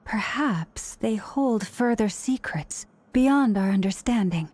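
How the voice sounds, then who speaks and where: A woman voicing a calm, thoughtful scholarly woman in a video game, speaking deliberately. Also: on the high side, a little hiss or hum. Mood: pensive.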